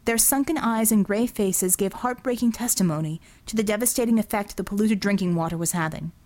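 A woman reading dramatic fiction as an audiobook narrator, deliberate and expressive in her storytelling. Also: medium pitch.

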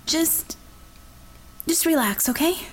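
A timid, anxious young woman, as a woman reader voices her, with a small voice that falters and stammers. She sounds higher than the narration, and her pitch keeps jumping around. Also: lively intonation. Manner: hesitant, halting, small voice. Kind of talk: audiobook character reading.

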